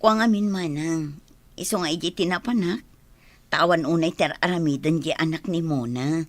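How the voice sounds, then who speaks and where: Low-pitched, polite Ilocano village schoolteacher, acted by a woman. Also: normal speed, no room sound, close to an everyday voice. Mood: respectful. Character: polite village schoolteacher wife, apologetic and considerate. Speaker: radio drama actor. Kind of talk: Ilocano radio comedy drama acting.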